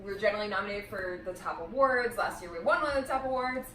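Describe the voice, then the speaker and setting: A woman's voice: medium pitch, fast, a bit bright. A woman talking casually to a group as a member of a theatre company. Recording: echoey room, distant mic, clear background noise.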